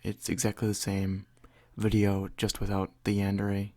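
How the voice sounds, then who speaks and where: Young male video creator, slightly deep voice, casual and hesitant, unscripted intro chat. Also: completely flat delivery, moderate pace, darkish tone, dry recording.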